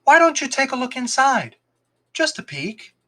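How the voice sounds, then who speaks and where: A man's voice: very high voice, sing-song, normal speed, sharp tone, voice pushed up, exaggerated voice. A man voicing a cheerful, eager, courteous young fox cub disguised as a shop boy in a storybook reading. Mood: cheerful coaxing.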